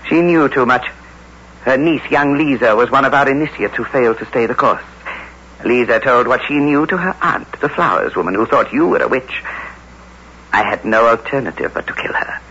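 A dull, hissy old radio recording carries a sinister coven-leading husband. He is commanding and coldly taunting, with a bright, forceful edge and deliberate, widely swinging delivery. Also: mid-range voice. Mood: gloating, menacing. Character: menacing husband, master of a witches' coven. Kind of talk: old radio drama acting.

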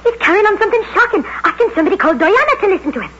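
A muffled, noisy old radio recording has an agitated young woman with an extremely high voice. She talks fast and urgently, in a colloquial, gossipy, confiding way. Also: very animated, fairly bright tone, exaggerated voice. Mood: alarmed, confiding. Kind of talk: old radio drama acting.

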